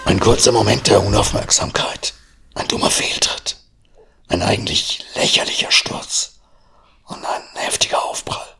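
A man hosting a podcast and reading his own short horror story in a hushed, half-whispered narration, with a husky voice. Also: lowish voice. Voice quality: husky, gravelly. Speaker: podcast host.

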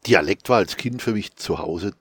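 A man with a Franconian accent, co-author of a quiz book, chatting casually and reading quiz questions with lively emphasis. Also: deep voice, moderate pace, slightly rough, dry recording. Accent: Franconian German. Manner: animated, laughs, whispers asides. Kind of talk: casual chat.

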